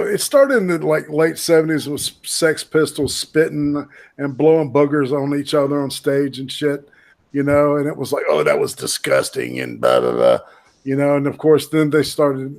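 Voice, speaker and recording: A man's voice: fairly high pitch, animated, moderate pace, darkish tone. A man telling a story in a casual podcast chat, swearing freely.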